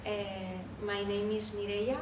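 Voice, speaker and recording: A woman from Spain with a Spanish accent introduces herself to a group in halting second-language English. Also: mid-range voice, level tone. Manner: halting, searching for words. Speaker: workshop participant, social worker. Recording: echoey room, distant mic, noisy.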